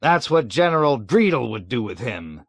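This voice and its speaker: A man voicing a petulant, self-righteous male colonel who shouts in high, whiny bursts. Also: sing-song, moderate pace, vocal fry, dry recording. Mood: blustering wrath. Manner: shrill, whiny, shouting outbursts.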